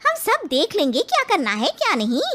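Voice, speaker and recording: A woman's voice: extremely high pitch, wildly swooping pitch, normal speed, fairly bright tone, heavily acted. A woman playing a bossy, bustling matchmaking aunt in a cartoon story. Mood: scolding. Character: pushy middle-aged matchmaking aunt.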